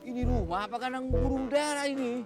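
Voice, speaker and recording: An actor playing a bossy boss, blunt and commanding, in a TV sitcom with music behind. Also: male voice, very high voice, brisk. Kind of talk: sitcom acting.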